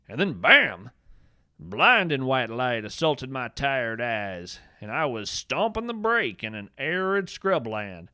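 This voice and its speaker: A man's voice: mid-range voice, pushed and bright, no echo, studio quality. A man playing a folksy, eccentric naturalist podcast host, delivering a whimsical scripted monologue in a theatrical, wry way. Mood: animated storytelling. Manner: theatrical, folksy, wry, addresses listeners as friends. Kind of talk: scripted comedic monologue, radio-show storytelling.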